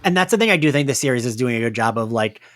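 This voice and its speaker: A man hosting a podcast, casually recapping a story in a chatty way full of fillers and quick to laugh. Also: medium pitch, expressive, fairly bright tone, no echo, studio quality. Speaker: podcast host. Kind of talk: casual recap chat about an audio drama.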